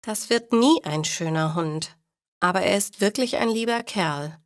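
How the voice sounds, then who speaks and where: Woman voicing a blunt but soft-hearted mother. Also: mid-range voice, measured pace, dry recording, studio quality, natural voice. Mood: relenting.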